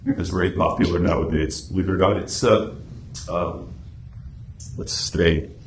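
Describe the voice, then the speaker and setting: Guy with a lowish voice giving a chatty club talk, roomy echo, bit of noise. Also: fairly even intonation, normal speed, creaky. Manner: chatty, anecdotal. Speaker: club presenter and radio script writer. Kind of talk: informal presentation to a club meeting. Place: meeting room, speaking to a small audience. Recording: echoey room with faint noise.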